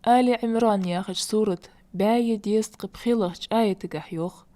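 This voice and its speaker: A woman voicing a kindly, patient grandmother in a cartoon dub, speaking in a measured, instructive way. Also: medium pitch, mellow, natural voice. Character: kindly, patient grandmother who teaches children.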